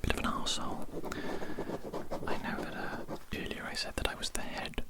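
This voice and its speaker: Casting: ASMR performer as alien head doctor, whispered throughout, chatty, petty yet courteous, soothing repetition. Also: lightly acted. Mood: confiding, mildly aggrieved. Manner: hushed, deliberate, repeats phrases soothingly.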